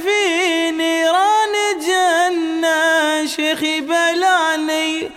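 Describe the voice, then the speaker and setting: A high-voiced man chants in a very slow, drawn-out, plaintive way. His voice sits in a long reverb over a music bed. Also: level tone, bright, forceful tone. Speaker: singer. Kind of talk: sung poetry duet.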